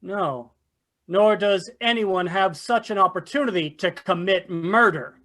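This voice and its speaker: A woman's voice: mid-range voice, measured pace, fairly bright tone, natural voice. A woman playing a shrewd, formal police inspector in an old-time radio mystery. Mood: accusing. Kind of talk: golden-age radio mystery acting.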